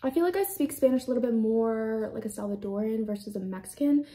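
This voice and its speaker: A woman's voice: fairly high pitch, fast talker, warm tone. Chatty young woman rambling with "like". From United States. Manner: chatty, rambling, heavy use of "like". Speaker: beauty vlogger. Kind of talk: casual get-ready-with-me chat. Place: small indoor room with a plain backdrop.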